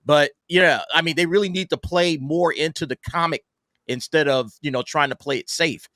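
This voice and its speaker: A man's voice: fairly high pitch, normal speed, a bit bright, no room sound, studio quality. A man giving his opinions as a panelist in a casual chat.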